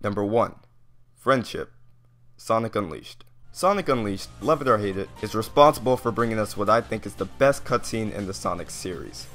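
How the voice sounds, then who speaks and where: A man's voice: on the low side, expressive, normal speed, fairly bright tone, soft background music. A man narrating a top ten list of video game scenes in a conversational, enthusiastic way. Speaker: YouTube reviewer.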